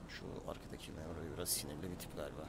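A man talks quickly in casual gaming banter, with a teasing, bossy streak. Clear noise and game engine sounds sit behind his voice. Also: a bass voice, little pitch movement. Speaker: streamer playing a gang boss in a roleplay game. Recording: clearly noisy game audio with vehicle sounds.

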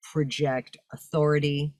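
An older woman with a deep, commanding announcer's voice talks in a big, projecting way. She chats about her work over a video call from home, and the sound is dry and close. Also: flat delivery, measured pace, a little mellow, dry recording. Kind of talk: casual interview talk. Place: home room with fur-draped chair.

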